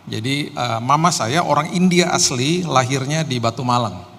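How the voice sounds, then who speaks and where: A middle-aged Indonesian pastor giving a sermon with personal testimony, casual and joking, with many hesitant "eh"s. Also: male voice, medium pitch, moderate pace, creaky, fairly bright tone. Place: church hall. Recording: long hall reverb, faint ambience.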